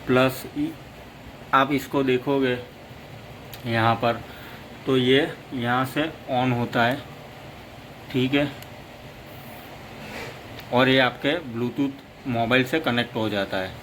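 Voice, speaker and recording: A man's voice: mid-range voice, steady tone, slow pace, pauses often, a bit bright, a little hiss or hum, low-quality recording. A man giving a casual, rambling product demo as a motorcycle vlogger, stringing his points together with "plus".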